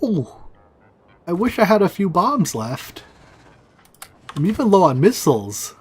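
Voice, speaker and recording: A man's voice: high-pitched, measured pace, breathy edge, soft background music. Chatty man streaming a game. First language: Chinese. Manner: mumbling asides, excited outbursts. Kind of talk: casual gameplay commentary.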